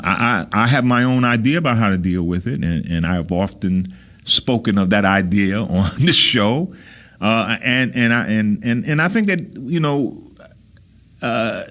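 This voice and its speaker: An American man hosting a talk radio show, speaking casually and haltingly, often repeating words as he searches for the next one. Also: fairly low pitch, a bit bright. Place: radio studio.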